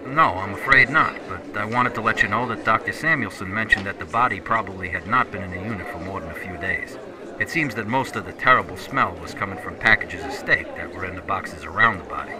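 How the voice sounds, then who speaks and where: Male actor, helpful caller, fairly low pitch, animated, matter-of-fact, obliging, audio drama, noisy crowd background. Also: brisk, lightly acted.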